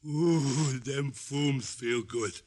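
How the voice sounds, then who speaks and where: Stuffy-nosed, streetwise small-time crook. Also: male voice, mid-range voice, a little mellow. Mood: wheedling, distracted. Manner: streetwise, rambling, drawn-out. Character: congested, wheedling small-time pickpocket boss, a hypochondriac. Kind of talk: 1940s-50s radio detective drama acting.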